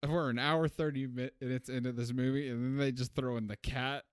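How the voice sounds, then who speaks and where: A man's voice: mid-range voice, steady tone, brisk, smooth, no room sound, clean studio sound. A man co-hosting a podcast and chatting loosely about a movie, full of restarts and fillers. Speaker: podcast co-host. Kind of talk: casual chat, movie review.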